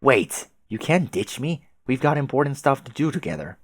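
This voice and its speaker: A man voicing a pushy, excitable female alien troll who taunts in wordy, emphatic phrases for a comic dub. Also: mid-range voice, normal speed, husky, no echo. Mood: eager, insistent. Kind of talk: fan comic dub dialogue.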